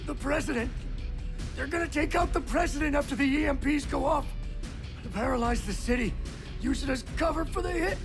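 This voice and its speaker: A panicky, defensive crook in a game cutscene. His voice is strikingly high and full of gravel, confessing under pressure. Loud music runs behind him. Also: fairly quick. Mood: nervous confessing. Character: cornered, pleading male criminal fixer under interrogation. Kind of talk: video game cutscene acting.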